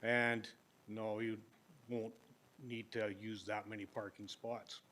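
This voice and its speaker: Older man, applicant at a council hearing, plainspoken, sometimes booming. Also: level tone, takes it slowly, fairly bright tone, slight background noise. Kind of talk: public hearing presentation. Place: council chamber. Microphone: podium microphone.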